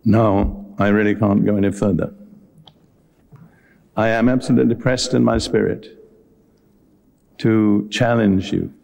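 Mellow-voiced male preacher. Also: lowish voice. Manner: earnest, deliberate, direct address to listeners. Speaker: visiting preacher. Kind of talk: sermon with Bible teaching and altar call. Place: live before a congregation at a meeting.

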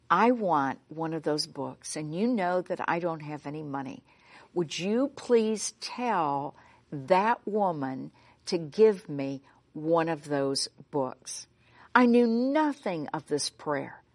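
A middle-aged woman from the United States, a Bible teacher telling a story in a sermon. She speaks emphatically and acts out the dialogue. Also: mid-range voice.

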